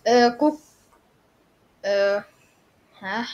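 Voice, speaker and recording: A woman's voice: mid-range voice, darkish tone, highs cut off. A woman role-playing her way through a game in casual, hesitant talk, musing and asking questions. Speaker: gamer playing through a story. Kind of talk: casual improvised role-play commentary.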